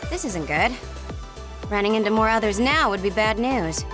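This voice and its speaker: A woman's voice: medium pitch, expressive, moderate pace, over music, lightly acted. An actress playing a laid-back, lazy but caring young female military officer in a video game, casual and a little bossy. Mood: concerned, firm.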